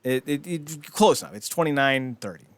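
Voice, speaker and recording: A man with a fairly high voice chats casually as a podcast host. His pitch swings widely as he riffs and swears. The sound is very dry. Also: moderate pace, no echo. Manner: animated riffing, swears casually. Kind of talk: casual comedic podcast chat.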